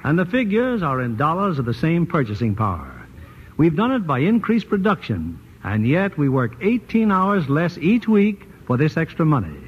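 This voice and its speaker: A man's voice: on the high side, sing-song, normal speed, husky. A man hosting a radio theater show, introducing guests and reading a sponsor message in a polished announcer manner. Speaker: radio host. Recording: muffled old radio broadcast with faint hiss.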